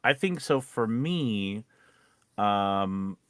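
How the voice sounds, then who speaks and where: A man's voice: lowish voice, animated, drawn-out words. A man who is a voice actor co-hosting a podcast, chatting casually and rambling, with playful repetition of words. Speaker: podcast co-host. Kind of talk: casual co-hosted chat.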